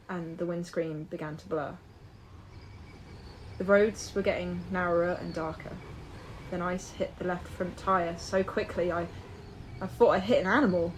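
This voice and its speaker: An actress playing a frightened, guilt-ridden young woman in an audio drama, speaking in halting, broken-off phrases. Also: on the low side, a little hiss or hum, poor audio. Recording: music behind.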